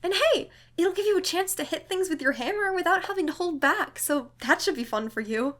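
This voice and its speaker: A tactful, bookish young woman, acted by a woman for an audio drama. The pitch is high and lively. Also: fairly quick. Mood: encouraging.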